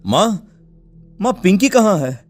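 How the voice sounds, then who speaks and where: A man plays an anguished father in a cartoon story. His voice is high and pleading, with melodramatic worry. Soft music sits underneath. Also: big pitch swings, slow, exaggerated voice. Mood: panicked. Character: anguished village father searching for his daughter. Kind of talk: dramatized Hindi story acting. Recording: background music.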